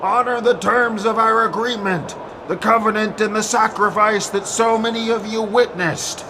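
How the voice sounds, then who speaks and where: A man's voice: high-pitched, steady tone, normal speed, background noise, voice pushed up, close to an everyday voice. A man playing a commanding ancient Greek warrior king, roaring a formal proclamation in an epic audio drama. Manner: roaring, declamatory.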